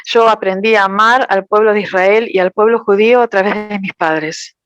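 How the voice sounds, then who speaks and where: Woman interpreter, measured, dry close sound. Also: medium pitch, steady tone, no room sound, highs cut off. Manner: careful, measured. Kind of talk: consecutive interpretation.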